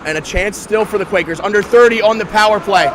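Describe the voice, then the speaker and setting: A young man calling live hockey play-by-play, his voice a little raspy from a weekend of games. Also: sits high, fast talker. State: slightly raspy from three games. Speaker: play-by-play commentator. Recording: loud crowd and arena noise.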